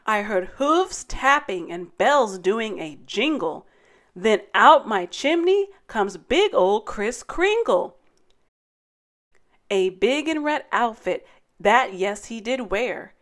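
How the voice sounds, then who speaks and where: A woman hosting story time, reading a rhyming children's picture book aloud in a dramatic, deliberate way. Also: fairly high pitch, animated, fairly bright tone. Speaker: story time host and narrator.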